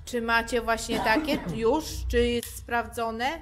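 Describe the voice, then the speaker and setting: Woman, highish voice, casual vlog chat, inquisitive and prompting, close behind camera, slight background noise. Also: steady tone, takes it slowly. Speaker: camera operator and interviewer. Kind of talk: casual chat to viewers and companion. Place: large cluttered workshop garage, indoors. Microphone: behind the handheld camera.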